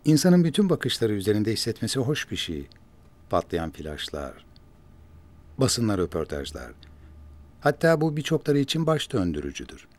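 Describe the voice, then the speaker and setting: An actor in his forties playing a composed wealthy businessman who talks his half-sister into a short break from the press, in a measured, persuasive way. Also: fairly low pitch, wildly swooping pitch, darkish tone. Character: composed wealthy businessman, protective older brother. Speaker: older brother in a rich family. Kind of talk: television drama acting. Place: office set.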